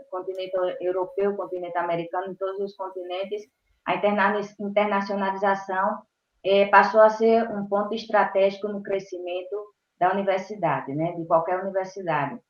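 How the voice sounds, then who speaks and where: A woman from Brazil, a university professor, giving a webinar talk over a video call. She speaks haltingly and stops often to search for words. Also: medium pitch. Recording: roomy, dull video-call audio.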